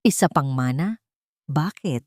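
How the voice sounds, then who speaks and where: A woman's voice: low-pitched, expressive, unhurried, darkish tone, dry recording, clean studio sound. A woman voicing an anxious, devoted mother in a dramatic story, polite and earnest. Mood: uneasy, puzzled.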